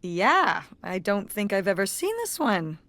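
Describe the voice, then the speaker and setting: A woman's voice: medium pitch, sing-song, measured pace, a bit bright, no room sound. An actress voicing a cautious, dry-humored middle-aged mother who scolds and worries over her teenage daughter in a video game. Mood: reflective.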